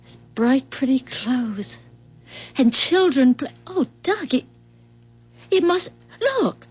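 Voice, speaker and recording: An actress playing an emotional, breathless wife full of wonder in a 1970s radio drama. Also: female voice, high-pitched, very animated, slow pace, breathy edge, full character voice. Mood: tearful wonder. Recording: dull 1970s radio recording with music.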